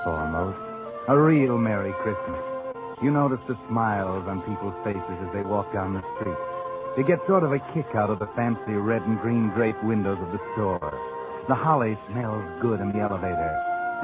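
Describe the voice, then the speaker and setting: Guy doing low sardonic old radio narrator, muffled sound, loud music all under it. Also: natural voice. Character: knowing, sardonic storyteller addressing the character directly. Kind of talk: 1940s radio suspense narration.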